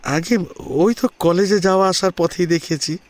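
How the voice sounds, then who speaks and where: Man playing a humble, pleading father, pitched up. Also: natural voice. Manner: halting, polite, pleading. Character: humble, deferential father pleading for a marriage match.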